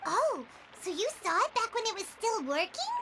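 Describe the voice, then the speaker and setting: A woman's voice: very high-pitched, big pitch swings, moderate pace, pushed and bright, a little hiss or hum, full character voice. A woman voicing a curious, childlike little girl in a video game, wide-eyed and inquisitive.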